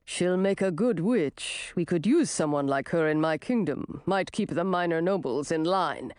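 A woman's voice: lowish voice, animated, a little slow, a little mellow, smooth, no echo, clean studio sound, pitched below the performer's own voice. A woman voicing a pragmatic, scowling prince suitor with a businesslike manner.

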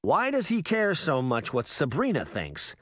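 A man's voice: fairly high pitch, wildly swooping pitch, unhurried, fairly bright tone, dry recording, studio quality, heavily acted. A man voicing a grumbling, exasperated middle-aged father in a children's fantasy audiobook, commanding and complaining. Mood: suspicious.

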